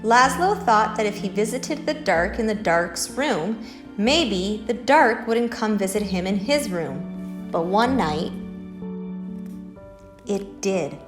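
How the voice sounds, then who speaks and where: A woman's voice: mid-range voice, expressive, takes it slowly, echoey room, music in the background. A woman hosting a children's story time, reading picture books and talking in simple, encouraging words to young listeners. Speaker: library story-time host.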